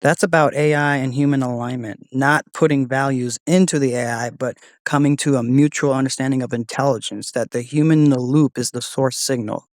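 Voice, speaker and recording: Casting: male demo host, middle-pitched, casual and conversational, dry close sound. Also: fairly even intonation, a little fast, few pauses, dry recording. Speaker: host testing an AI voice assistant.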